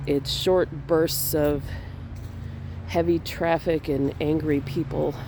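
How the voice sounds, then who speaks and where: A slightly high-voiced man with a stuffy, congested sound talks casually while strolling outdoors. His delivery rambles and restarts. A faint hum of traffic and outdoor noise sits behind him. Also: slow pace. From Minnesota. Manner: relaxed, rambling, with small laughs and restarts. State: stuffy from a cold. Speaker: artist vlogger. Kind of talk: casual walking vlog. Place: outdoors in a cemetery on a fall day.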